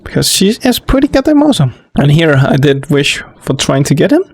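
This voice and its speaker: A man's voice: fairly high pitch, big pitch swings, brisk, husky, no room sound, clean studio sound. A man giving casual gameplay commentary in an informal, rambling way. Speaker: gamer, let's player.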